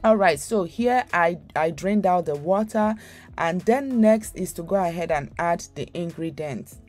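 Chatty woman with a mellow, low voice. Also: animated, unhurried, soft background music. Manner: chatty, rambling, many fillers and restarts. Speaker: cooking channel host. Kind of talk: casual recipe voice-over.

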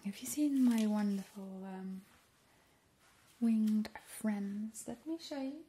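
Whispering woman, very slow ASMR vampire. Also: medium pitch, mellow, slight background noise. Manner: whispering, slow and soothing, with repeated words. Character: playful, seductive female vampire. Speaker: ASMR host.

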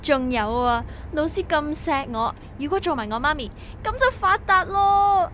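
A woman's voice: high-pitched, lively intonation, moderate pace, fairly bright tone, slight background noise. A woman playing a cheeky young daughter who teases her dad, in Hong Kong Cantonese radio drama style. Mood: playful, insistent.

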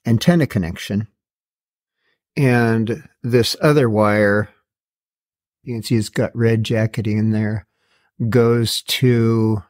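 A man's voice: on the low side, a little mellow. A middle-aged or older man giving a casual, matter-of-fact product walkthrough as a video host, with a slightly wry, plain-spoken way of talking. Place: indoor garage workshop.

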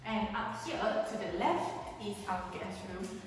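A woman's voice: medium pitch, animated, unhurried, recorded from a distance, noisy background. A woman from Malaysia talks her way through a house renovation tour, explaining as she goes with plenty of "um"s. Her voice rings around bare, empty rooms with a long tail, and she sits a little away from the camera. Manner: chatty and explanatory, with frequent "um" and gesturing. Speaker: home renovation vlogger. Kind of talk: casual house tour walkthrough. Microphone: handheld camera at a few feet. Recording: echoey empty rooms, voice a bit off-mic.

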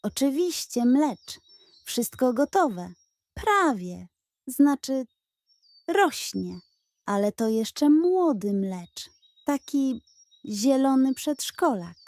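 A woman voicing a cheeky, greedy male pug dog in a children's story, wheedling, excitable and dramatic. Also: high-pitched, drawn-out words, dry recording, full character voice.

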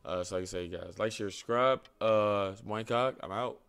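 A man's voice: fairly low pitch, moderate pace, fairly bright tone, smooth. A young man giving casual reaction commentary in informal, slangy speech, often repeating himself, close to a desk microphone. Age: young adult. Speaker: reaction video host. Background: music.

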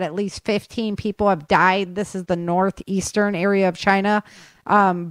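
A woman's voice: medium pitch, dry recording. An American woman hosting an informal podcast news roundup, chatty and speaking straight to her listeners with opinionated asides. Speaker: podcast host reading news.

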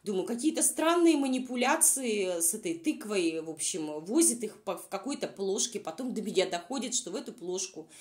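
A woman's voice: mid-range voice, lively intonation, brisk, hardly any pauses, a little mellow. A woman vlog reviewer who is unwell, giving informal, exasperated and sarcastic commentary. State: unwell, says voice is nearly gone. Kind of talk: informal mocking commentary over video.

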